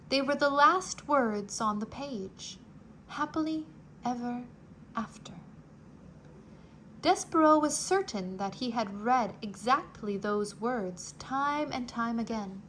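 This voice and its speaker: Female storybook reader, unhurried and expressive, higher voice; faint hiss. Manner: slow, expressive, drops to a whisper for quiet moments. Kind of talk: children's read-aloud narration.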